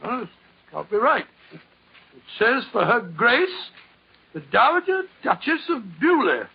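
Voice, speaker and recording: A man's voice: extremely high pitch, big pitch swings, a little slow, vocal fry, husky, heavily acted. An actor playing a genial, bumbling middle-aged British doctor in 1940s radio style, fussy and hesitant as he talks. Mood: genial, flustered. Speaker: storyteller and actor. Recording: muffled old 1940s radio broadcast.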